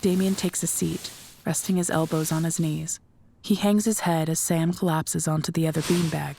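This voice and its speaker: A woman's voice: low voice, fairly even intonation, moderate pace, creaky, mellow, slight background noise. A woman reading scripted narration for an audio drama as its narrator.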